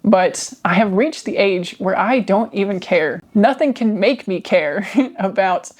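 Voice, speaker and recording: A woman with a deep voice telling a reflective personal story to camera, seated at home by a nearby microphone, laughing softly between phrases. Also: a little slow. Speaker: vlogger. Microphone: microphone near her.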